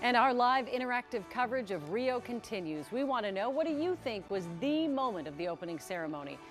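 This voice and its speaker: A woman's voice: on the high side, very animated, fairly quick, soft background music. A middle-aged American woman presenting the news as a studio anchor.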